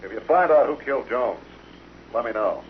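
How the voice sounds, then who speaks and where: An American actor playing a hard, menacing gunman in an old radio western, speaking coolly and deliberately, with threat in his delivery. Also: medium pitch, animated, close to an everyday voice. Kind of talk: 1950s radio western acting. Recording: dull, hissy old radio broadcast recording.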